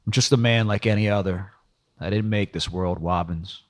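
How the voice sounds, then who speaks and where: Man playing a low-voiced, evasive investigator. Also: moderate pace, natural voice. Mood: defensive. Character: terse, evasive male investigator defending himself.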